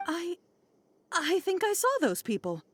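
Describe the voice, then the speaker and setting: A woman's voice: very high-pitched, very animated, measured pace, noisy background, full character voice. A woman voicing a courteous, apologetic female scholar in a video game. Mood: polite. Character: polite, flustered female scholar.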